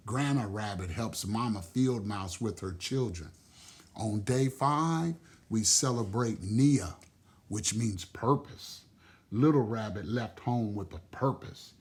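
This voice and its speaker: A man telling and explaining a children's story in a deliberate, expressive way. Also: fairly low pitch.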